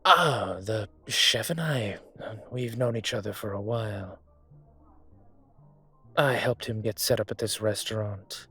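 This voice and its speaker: A man acts out a wealthy, gentlemanly dragon mafia boss in a romantic audio roleplay. His voice is husky and on the deep side. He takes his time over every phrase, intimate and gently teasing, as an older suitor would. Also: full character voice. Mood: relaxed, proud. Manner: unhurried, courtly, teasing, intimate. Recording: music behind the voice.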